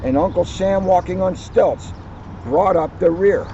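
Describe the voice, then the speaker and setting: A man's voice: sits high, measured pace, lo-fi sound, slight background noise. Male police officer reading a picture book. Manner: lively storytelling, livens up on quoted lines. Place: outdoors on a hill at a historic house.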